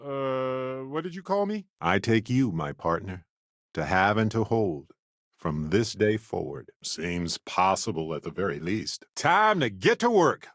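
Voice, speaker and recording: A man's voice: lowish voice, no echo, clean studio sound. A man voicing a wry, good-hearted space explorer companion in a video game, conversational and earnest. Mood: alert, urgent, then earnest, tender.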